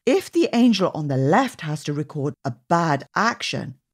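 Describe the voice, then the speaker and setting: A woman reading aloud to young children as a storytime host, with expressive, deliberate phrasing. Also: low-pitched, husky. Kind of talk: read-aloud narration for children.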